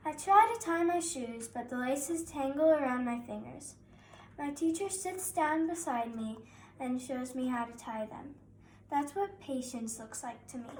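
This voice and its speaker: A woman's voice: sits high, a little slow, darkish tone, recorded from a distance. A young girl of about nine reading a children's picture book aloud as narrator. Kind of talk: scripted children's story narration. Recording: roomy echo, faint background noise.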